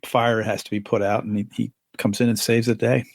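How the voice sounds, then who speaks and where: A man's voice: lowish voice, flat delivery, brisk, gravelly, dry recording. A man chatting casually as a guest in an interview. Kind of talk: casual chat.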